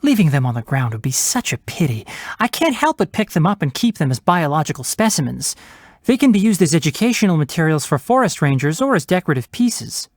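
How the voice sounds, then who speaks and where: A young fox-eared forest ranger from a video game, speaks with a highly animated melody. He is precise and bookish, with a wry, matter-of-fact edge. The sound is dry and free of any room. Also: fairly quick, no echo. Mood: instructive, composed. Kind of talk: video game character voice lines.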